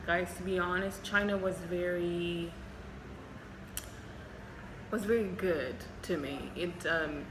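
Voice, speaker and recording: Brief: young Zimbabwean woman doctor, lowish voice, casual vlog Q&A, echoey room. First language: Shona. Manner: chatty and candid, frequent ums. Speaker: doctor and vlogger. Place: hospital, indoors. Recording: echoey room with background noise.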